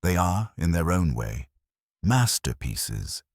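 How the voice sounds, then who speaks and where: A man voicing a shrewd elderly Belgian detective who speaks in a measured, precise and formal way. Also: sits low, very animated, no echo, studio quality, heavily acted. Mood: reflective.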